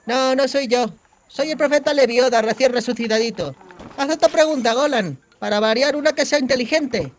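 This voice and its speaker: A cheeky young bandit woman, played by a male audiobook narrator, with a very high voice. She is bossy and sardonic, explaining things briskly in colloquial speech. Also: a little hiss or hum. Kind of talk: audiobook character dialogue.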